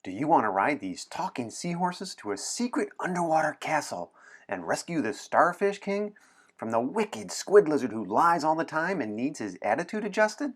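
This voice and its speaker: A man puts on an excitable little girl from a storybook. The voice is loud and raised, swooping in pitch. She rattles out imaginative ideas in breathless, eager run-on sentences. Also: normal speed, breathy edge. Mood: excited.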